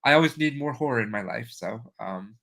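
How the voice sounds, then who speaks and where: A man hosting a casual video-call interview, enthusiastic and halting, with many fillers. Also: mid-range voice, lively intonation, creaky, bright, forceful tone. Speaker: podcast host.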